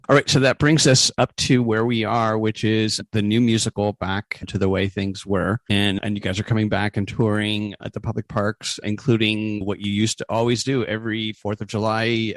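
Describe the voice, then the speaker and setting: A man's voice: lowish voice, steady tone, fairly quick, few pauses, no room sound. A man hosting an interview in a conversational style, setting up questions for his guests. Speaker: interview host.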